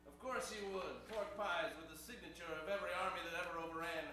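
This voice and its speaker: A man's voice: high voice, fast talker, bright, forceful tone, slight background noise. A man playing a crooked, blustering, pompous power plant boss in an improvised old-time radio play. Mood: scheming. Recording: echoey live stage, distant mic, music behind.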